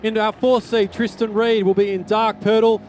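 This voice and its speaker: A man co-commentating a live swim race, briskly running through the competitors outdoors. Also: high-pitched, level tone, a little mellow, slight background noise. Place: outdoors at a beach event.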